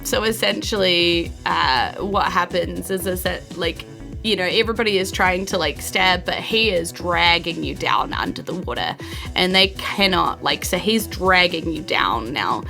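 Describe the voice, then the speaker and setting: A woman's voice: lowish voice, measured pace, gravelly. A woman with a New Zealand accent narrating a tabletop game as game master, informal and encouraging. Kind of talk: improvised tabletop game narration and casual chat. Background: background music.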